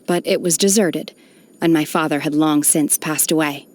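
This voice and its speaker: Stoic young female exorcist, lowish voice, formal, measured, detached, melancholy undertone, video game character. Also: fairly even intonation, a little mellow. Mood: somber, wistful. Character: stoic, solemn young adepti-trained exorcist woman, aloof yet melancholy.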